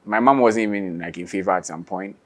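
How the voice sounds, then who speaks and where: A young man talks through an interview in a relaxed, easygoing way. His voice sits low, and he laughs often and restarts his sentences as he thinks. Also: a little fast. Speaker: singer and guitarist guest. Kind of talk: casual interview chat.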